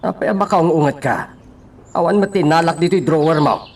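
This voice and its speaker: A man's voice: fairly high pitch, fairly quick, distant mic, lightly acted. A man acting a defensive, insistent office co-worker in a radio drama. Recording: off-mic, noisy with insect-like sounds.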